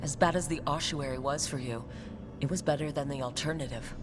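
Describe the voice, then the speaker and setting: An actor playing a calm, supportive fantasy hero in a video game, talking in a measured, earnest way. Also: medium pitch, lightly acted. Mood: calm, sympathetic. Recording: noisy game ambience behind.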